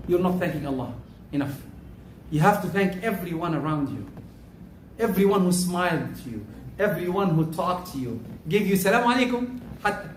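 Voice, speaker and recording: A man giving a Friday sermon as khatib, loud and emphatic, stressing words as he preaches. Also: sits high, a little hiss or hum. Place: mosque prayer hall. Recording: echoey hall, mic from far off.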